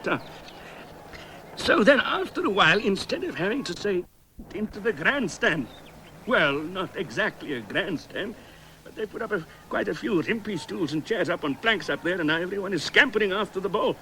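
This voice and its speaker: A man's voice: high-pitched, sing-song, moderate pace, bright, forceful tone, slight background noise, heavily acted, low-quality recording. A man playing a bumbling, digressive small-town South African cricket commentator in a 1970s radio comedy. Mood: rambling, chatty.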